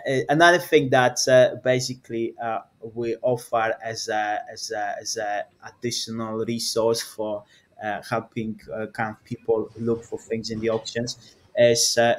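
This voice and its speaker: A man with a Polish accent co-hosting a property webinar over a video call, talking hesitantly with many fillers. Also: mid-range voice. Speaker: webinar co-host. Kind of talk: casual webinar talk.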